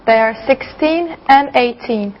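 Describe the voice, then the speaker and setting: A woman's voice: sits high, a little slow. A woman playing a cooperative young English student, speaking careful simple sentences in a scripted classroom dialogue. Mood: cheerful, careful. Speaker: language student. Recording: slightly dull lo-fi audio.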